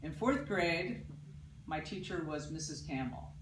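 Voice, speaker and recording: Loud woman storyteller in a roomy theatre. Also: low voice, animated, measured pace, noisy background. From Paterson, New Jersey. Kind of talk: live storytelling. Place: theatre stage with live audience. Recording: roomy, distant mic, audible ambience.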